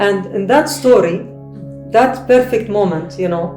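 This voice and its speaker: A woman recounting a moving moment in an animated way, repeating what others said. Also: mid-range voice, moderate pace, echoey room, soft background music. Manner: animated storyteller, recounts scenes with quotes. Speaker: panel speaker. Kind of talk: storytelling conversation.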